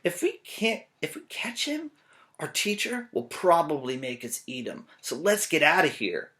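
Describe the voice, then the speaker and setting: Man as a wary, high-voiced little boy. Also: wildly swooping pitch, measured pace, pushed and bright, full character voice. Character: little schoolboy, wary and eager to flee.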